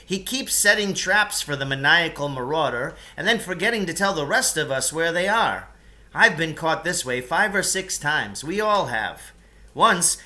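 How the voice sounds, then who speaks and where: Man putting on a high, indignant, bellowing storybook fellow. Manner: loud, exclamatory, exasperated. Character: fussy, indignant, self-important man from a storybook.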